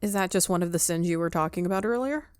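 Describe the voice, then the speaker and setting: A woman's voice: lowish voice, fast, clean tone, dry recording. A woman giving casual let's play commentary as she plays, reacting and talking back to the game, close to the mic. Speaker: gaming video host, player.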